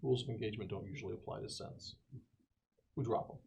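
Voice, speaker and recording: A man's voice: lowish voice, wildly swooping pitch, quick pace, vocal fry, a little mellow, a little hiss or hum. Terse, decisive man at a gaming table. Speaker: tabletop game player. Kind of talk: casual in-game chat.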